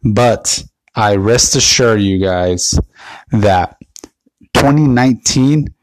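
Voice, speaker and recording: A man hosting his own podcast, a bit raspy from being under the weather, talking in a loose, rambling way with many fillers and restarts. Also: lowish voice, slow pace, no room sound, rough recording. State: slightly raspy from illness. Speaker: podcast host. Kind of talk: casual solo podcast update.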